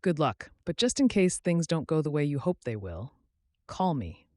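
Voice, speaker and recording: A man acts out an ordinary guy on the run in an audiobook story. He is wry, evasive and casual, half-joking as he talks. The voice is slightly high and animated, recorded dry. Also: moderate pace, no echo. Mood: earnest, wry.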